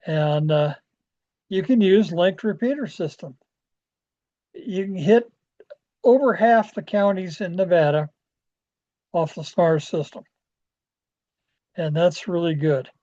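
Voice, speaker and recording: Dark-voiced man presenting, dull lo-fi audio. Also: high-pitched, slow, pauses often. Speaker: amateur radio club presenter. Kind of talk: informal training presentation.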